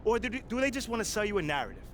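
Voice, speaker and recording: A man's voice: high voice, wildly swooping pitch, very fast, slight background noise. A man playing a driven, proud young American pro basketball player in a game cutscene, speaking earnestly and colloquially with emphasis. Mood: fired up, indignant. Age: young adult. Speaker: video game actor playing the lead character. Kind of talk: naturalistic cutscene acting, impassioned speech.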